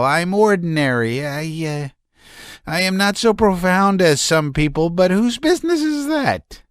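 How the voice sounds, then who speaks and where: A man reading aloud, voicing a hysterical young man who shouts and stammers in a high strained voice. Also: clear, resonant tone, no echo, studio quality. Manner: shrill, stammering, near-shouting.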